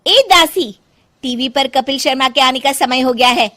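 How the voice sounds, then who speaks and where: Woman putting on a high, imperious young queenly bride. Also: moderate pace, bright, forceful tone. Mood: haughty. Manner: bossy, commanding. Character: imperious young daughter-in-law acting like a queen. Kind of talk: comic story dialogue.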